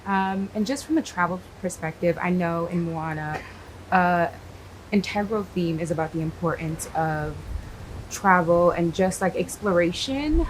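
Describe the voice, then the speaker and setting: A woman's voice: lowish voice, measured pace. A woman interviewer asking questions in an enthusiastic, chatty way with fillers. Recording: noisy background ambience.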